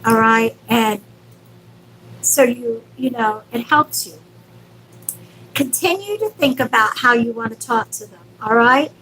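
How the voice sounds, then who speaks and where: A woman's voice: a little high, measured pace, pauses often, bright, forceful tone, a little hiss or hum, low-quality recording. A woman hosting a live stream as a psychic medium, speaking casually and affectionately to viewers with reassurance and endearments.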